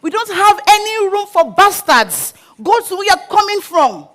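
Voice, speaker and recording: A shrill, furious middle-aged housewife, her voice pitched high and thrown loud as she drives a stranger from her door, as a woman acts her out on stage. Every phrase comes as an indignant shout. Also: very animated, moderate pace.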